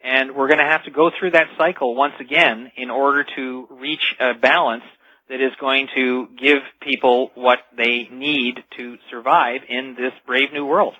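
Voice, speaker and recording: A man's voice: mid-range voice, fairly even intonation, measured pace, no room sound. A man speaking as an expert guest in a radio interview, explaining earnestly and emphatically, repeating key phrases. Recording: dull, dry lo-fi radio audio.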